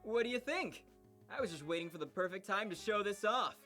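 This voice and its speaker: An actor voicing an eager, polite, cheerful middle school kid in a visual novel, chirpy and excitable. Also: medium pitch, brisk, faint music behind. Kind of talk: animated visual novel character acting.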